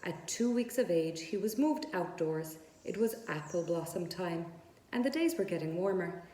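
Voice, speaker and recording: A woman's voice: lowish voice, expressive, moderate pace, warm tone. A woman narrating a children's book aloud as a storyteller. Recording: echoey room.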